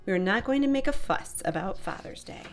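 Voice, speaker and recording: A woman's voice: medium pitch, animated, fairly quick, lightly acted. A woman voicing a grumbling, stubborn adult male papa bear in a children's storybook, blustery and insistent. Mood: dismissive.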